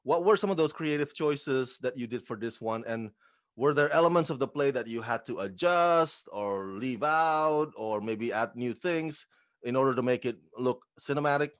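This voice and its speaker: A man's voice: medium pitch, normal speed. A man working as an interviewer, putting prepared questions to his guests.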